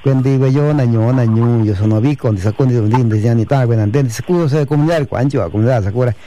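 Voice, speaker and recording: A man's voice: mid-range voice, quick pace, dark tone. A man hosting a community radio show, sending long lists of greetings to towns and listeners. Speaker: radio host. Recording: radio broadcast.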